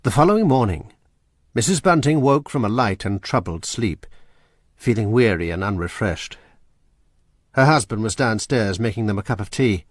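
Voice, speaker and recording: A man narrating a radio drama in a measured storytelling manner. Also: fairly low pitch, animated, dry recording, clean studio sound. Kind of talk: scripted narration.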